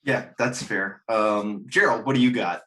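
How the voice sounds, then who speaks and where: A man hosting a casual group chat about pop culture, joking and quick to laugh. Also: fairly low pitch, sharp tone, a little hiss or hum. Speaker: host of a gaming chat show.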